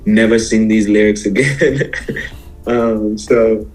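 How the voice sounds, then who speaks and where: An American man from Houston, Texas, giving casual, reflective interview answers as a musician guest, explaining himself in a halting way. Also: lowish voice, steady tone, darkish tone, soft background music. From Houston, Texas, USA.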